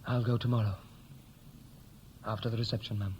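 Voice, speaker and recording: An actor playing a defiant, independent adult son, wounded, in a 1960s radio play. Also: male voice, on the low side, level tone, a little fast, darkish tone, highs cut off, lightly acted. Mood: wistful, bitter. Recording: hissy 1960s radio recording.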